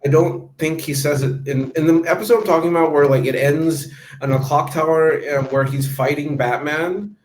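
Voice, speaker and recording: A man hosting a casual movie review chat, talking quickly and rambling with fillers and restarts. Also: a little high, fairly even intonation, darkish tone, echoey room, rough recording.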